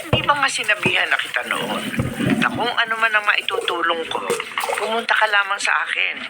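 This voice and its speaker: A woman playing a kindly, generous middle-aged spinster aunt in a Filipino radio drama, gentle and reassuring. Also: medium pitch, lively intonation, brisk, lightly acted. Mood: caring, concerned. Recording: noisy radio drama recording.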